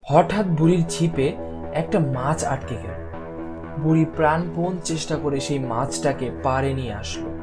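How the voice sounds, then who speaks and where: A man's voice: on the high side, moderate pace, over music. A man narrating a scripted fairy tale for a cartoon as a storyteller.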